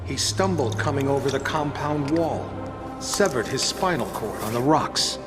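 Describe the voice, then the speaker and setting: A man's voice: mid-range voice, lively intonation, measured pace, natural voice. Smug, pompous villainous boss played by a man. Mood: gloating. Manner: pompous, articulate, sardonic. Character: smug, ruthless older male conspiracy boss. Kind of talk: video game cutscene acting. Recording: background music over dialogue.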